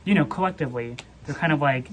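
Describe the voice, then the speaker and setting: Very low-voiced young woman, casual vlogger. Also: quick pace. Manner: informal, conversational, filler-heavy. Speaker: book vlog host. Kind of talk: casual book chat. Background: ambient noise, people in the distance.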